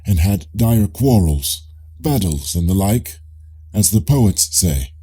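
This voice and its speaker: A man voicing a probing, politely ironic Greek philosopher in an audiobook dialogue, questioning in a measured way with a husky, dark voice. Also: sits low, very animated, exaggerated voice.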